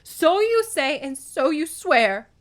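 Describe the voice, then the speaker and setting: A woman voicing a furious, desperate mother goddess in a storybook, shrill and grating. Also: sing-song, slow pace, full character voice. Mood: bitter.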